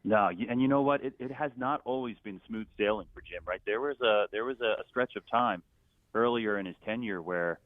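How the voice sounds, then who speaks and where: A man's voice: on the low side, fairly quick, no room sound. A middle-aged man from Philadelphia, a stadium announcer talking casually as a guest, rambling with many fillers and restarts. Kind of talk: casual phone interview. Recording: tinny phone line, dry.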